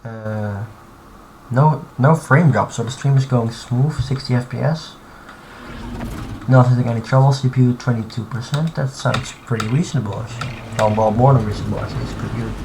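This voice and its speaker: A man's voice: mid-range voice, steady tone. Man thinking aloud over typing noise. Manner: thinking aloud, halting, many fillers and restarts. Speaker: streamer. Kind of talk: casual live-stream commentary while modding. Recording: clear background noise and typing.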